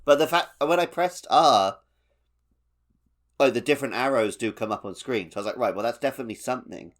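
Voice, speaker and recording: A man's voice: mid-range voice, fairly quick. A man co-hosting a podcast, talking casually about a video game. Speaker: podcast co-host.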